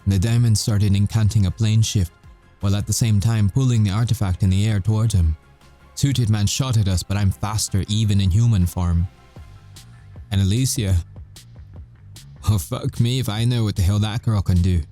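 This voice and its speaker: A man playing a cocky but haunted werewolf soldier, telling his story in a wry, measured way with dramatic pauses, in an ASMR audio roleplay. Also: fairly low pitch, steady tone, warm tone, faint music behind, natural voice. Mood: bitter, weary.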